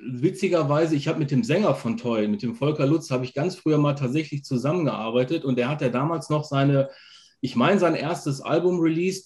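A man's voice: mid-range voice, a little mellow. A man in his thirties hosting a casual interview over a video call from his living room. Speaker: interviewer, host.

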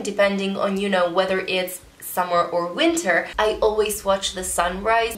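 A young woman in her twenties, a native Italian speaker with an Italian accent, chatting casually as she answers viewer questions in a vlog. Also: mid-range voice. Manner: chatty, animated, gestures while talking. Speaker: vlogger. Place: small bedroom, indoors. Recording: roomy bedroom echo.

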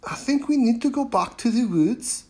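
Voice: mid-range voice, animated, moderate pace, a little mellow, voice pushed up, lightly acted. A storyteller voicing a brave, curious little Viking girl who urges others to listen in a storybook reading. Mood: urgent.

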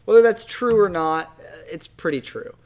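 A man's voice: high-pitched, normal speed, a little mellow. A young adult man in a casual podcast discussion, picked up on a shared microphone indoors. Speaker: podcast host. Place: indoor room, seated group. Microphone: shared desktop microphone.

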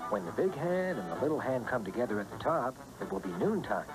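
A man's voice: medium pitch, big pitch swings, fairly quick, rough recording. A man voicing a big, bossy but kind factory foreman who leads a tour, with music playing behind. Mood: instructive.

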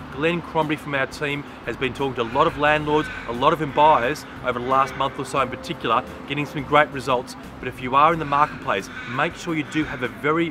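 Middle-aged Australian man, mid-pitched, enthusiastic agency-update read to camera, outdoors on a lapel mic, music bed clearly audible. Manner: upbeat, promotional, emphatic repetitions. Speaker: real estate agency principal giving a market update. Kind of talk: monthly market update to camera. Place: outdoors by a garden bed under an umbrella. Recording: music playing under the voice. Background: background music.

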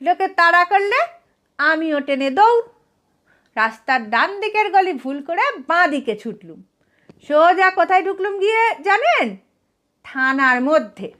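Lady doing a absent minded thief guy, aggrieved n earnest, high voice, slow chatty comic story delivery. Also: sing-song, fairly bright tone, full character voice. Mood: earnest, rueful. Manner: whining, earnest, addresses listener as sir.

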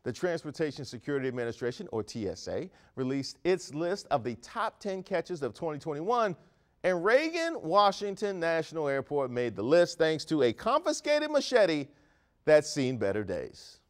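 A man in his thirties hosting a comedic news monologue on a studio set, animated and mock-outraged with jokey asides. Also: on the high side, moderate pace. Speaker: host.

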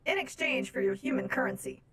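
A woman's voice: brisk, a bit bright, close to an everyday voice, poor audio. A woman voicing an oddly formal, naive female space robot from Venus in a game roleplay, speaking in stilted, literal phrases. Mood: innocent, formal. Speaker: streamer playing a roleplay game.

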